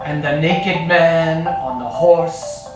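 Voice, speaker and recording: A man acting a solemn narrator in an avant-garde stage play, reciting a ritual in deliberate, halting, incantatory phrases. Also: on the high side, fairly even intonation. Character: solemn male narrator chanting a ritual rant. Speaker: stage actor. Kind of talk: slow theatrical incantatory recitation. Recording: echoing stage, distant mic, music behind. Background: mallet percussion music.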